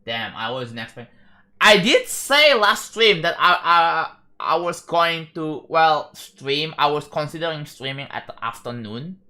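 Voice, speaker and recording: A young man from Indonesia with an Indonesian accent, chatting casually on a live stream, rambling and halting with frequent restarts. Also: on the high side, sing-song, sharp tone. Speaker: livestreamer.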